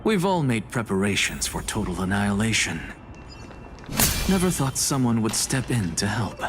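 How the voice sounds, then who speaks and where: A scornful young sage. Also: male voice, lowish voice, big pitch swings, background noise. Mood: cool. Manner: scornful, dismissive, measured. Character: arrogant scholar sage, young man, sharp-tongued. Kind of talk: video game voice acting. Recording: game audio with music behind.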